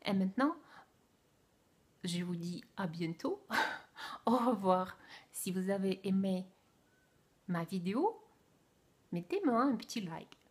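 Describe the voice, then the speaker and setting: A woman with a Russian accent talks slowly and deliberately, her voice soft and breathy. She speaks French as a second language and picks her words carefully, dropping into gentle whispered asides now and then. It has the relaxed feel of a casual book review. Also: medium pitch.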